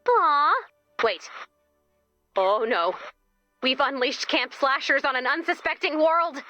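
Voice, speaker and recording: An actress playing an exasperated, peppy young team leader in a video game, with a wry, anxious, chatty manner. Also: female voice, sits high, expressive, slow, bright, forceful tone, muffled recording, soft background music, exaggerated voice. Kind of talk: scripted video game voice acting.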